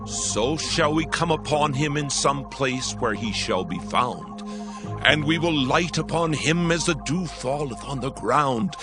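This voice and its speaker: An actor playing a shrewd, persuasive counsellor in an ancient royal court, in a dramatized scripture recording with deliberate, emphatic oratory. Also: male voice, on the high side. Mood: rousing, forceful.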